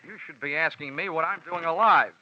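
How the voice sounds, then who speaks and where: A man's voice: on the high side, fairly quick, a little hiss or hum. A man narrating and acting a hard-boiled insurance investigator in a 1950s radio drama, wisecracking and dry. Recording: dull lo-fi old radio recording with music.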